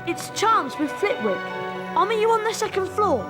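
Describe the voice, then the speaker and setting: An actor voicing a chatty, excitable English schoolboy in a video game, down-to-earth and colloquial. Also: extremely high pitch, moderate pace, over music. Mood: excited, chatty.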